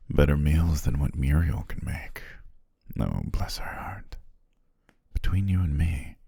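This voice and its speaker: Male actor as demon king, ASMR roleplay, extremely low husky voice, unhurried, genteel and self-amused, gravelly. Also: dry recording, studio quality, exaggerated voice. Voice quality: very deep, husky, gravelly, often breathy near whisper. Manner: leisurely, genteel, archly formal with soft chuckles.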